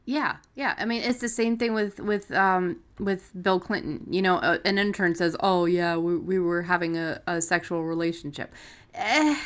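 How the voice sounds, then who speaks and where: Female podcast co-host, slightly low voice, unscripted and blunt, conversational with salty language. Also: normal speed. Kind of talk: casual unscripted discussion.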